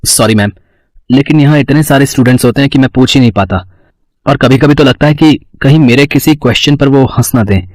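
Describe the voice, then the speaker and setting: A man playing a lovestruck male college student of twenty-one in an acted story, sly and pleading, with a hesitant, flattering manner. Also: medium pitch, fairly quick, no room sound, lightly acted.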